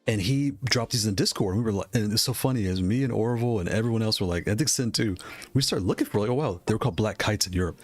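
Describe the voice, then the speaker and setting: A man's voice: on the low side, fast, soft background music. A man casually chatting on a live stream, rambling, greeting viewers and laughing, with a voice worn from losing it. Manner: rambling, shouts out chat viewers, laughs often. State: losing his voice.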